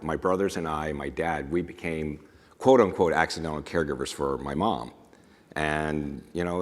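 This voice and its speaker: A middle-aged man, a home care business owner, speaking on a conference panel in an easygoing, self-deprecating way. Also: rumbling low. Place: conference stage. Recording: long reverb of a large hall.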